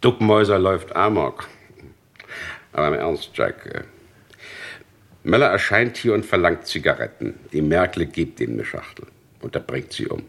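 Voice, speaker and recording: Insistent, skeptical detective for radio crime drama; lowish voice, very varied intonation. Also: male voice, big pitch swings, normal speed, gravelly, pauses often, fairly bright tone, heavily acted. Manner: questioning, methodical, polite but insistent. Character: probing, skeptical male police detective leading an investigation. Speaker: actor in a crime radio play.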